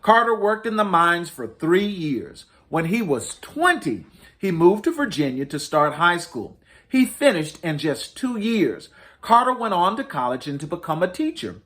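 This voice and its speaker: A man reads a children's story aloud with highly varied, lively intonation. His voice sits a little high, and he places emphasis deliberately, like a host telling a tale to young listeners. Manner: deliberate, emphatic storytelling with dramatic rises and falls. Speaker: host reading stories to children. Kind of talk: expressive children's storybook reading.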